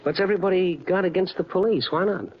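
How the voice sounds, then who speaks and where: A man's voice: high-pitched, quick pace, muffled recording, a little hiss or hum, natural voice. An American actor playing a wry, flirtatious Chicago newspaperman who narrates his own case in a hard-boiled 1950s radio drama style. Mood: questioning, concerned. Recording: band-limited old radio recording, prominent music.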